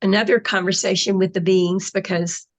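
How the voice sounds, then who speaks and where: A woman's voice: medium pitch, little pitch movement, moderate pace. A woman in casual conversation, explaining her spiritual channeling in a reflective way, with trailing phrases.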